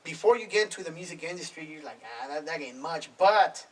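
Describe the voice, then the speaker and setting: A man's voice: on the high side, a little fast. A man in his thirties, a music producer guest, talking in casual slang with lots of "bro" and "homie". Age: 30s. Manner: slangy, swearing, fillers "bro" and "homie" throughout. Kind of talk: casual interview talk. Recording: distant mic with faint noise.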